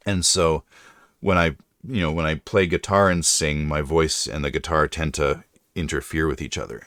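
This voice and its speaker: A middle-aged man talks with an extremely low, deep voice, rambling and often correcting himself mid-sentence. It is a relaxed chat with his live viewers. The sound is dry and close. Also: normal speed, no echo. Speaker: livestream host. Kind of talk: casual livestream chat.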